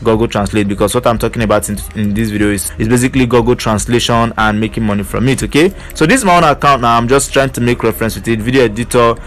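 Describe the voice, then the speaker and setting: A man's voice: medium pitch, fast talker, hardly any pauses, a bit bright, soft background music. A man teaching an online earning method in a casual screen-share walkthrough, leaning on fillers like "basically" and "you know". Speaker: online money-making tutor.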